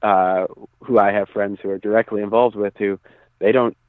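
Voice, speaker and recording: A man's voice: on the low side, fairly even intonation, moderate pace, no echo. A man chatting as an interview guest over the phone, choosing his words thoughtfully. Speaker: musician guest. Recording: tinny narrow phone line.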